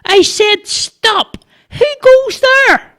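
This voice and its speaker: A man's voice: extremely high pitch, very slow, bright, forceful tone, pitched above the performer's own voice, heavily acted. A man voicing a timid, eager young Irish boy in a storybook tale. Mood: shrill challenge.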